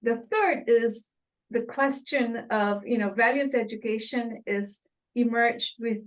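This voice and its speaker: A woman's voice: on the high side, takes it slowly, low-quality recording. Conversational woman moderating a webinar. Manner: organized, conversational.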